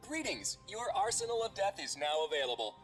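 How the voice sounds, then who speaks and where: A combat AI from a video game, announcing things with formal courtesy and lethal cheer. The pitch moves in wide swings, and faint music plays behind. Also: big pitch swings, a little fast, fairly bright tone. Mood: polite announcing. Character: polite, deadpan combat exosuit AI, cheerfully lethal.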